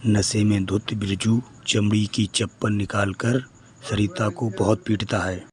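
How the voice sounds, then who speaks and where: A man's voice: lowish voice, level tone, a little slow, darkish tone. A man reading scripted narration for a cartoon moral story.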